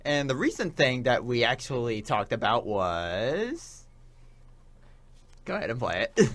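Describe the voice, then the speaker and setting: A man's voice: medium pitch, sing-song, a little slow, fairly bright tone. A young man co-hosting a radio show in casual, chatty banter. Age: young adult.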